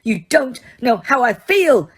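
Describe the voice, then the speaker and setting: A grieving teenage boy wizard, shouting and shaking with rage, as a woman reading a storybook acts him out. The voice rides high and loud above the narration. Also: animated, moderate pace, husky. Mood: furious.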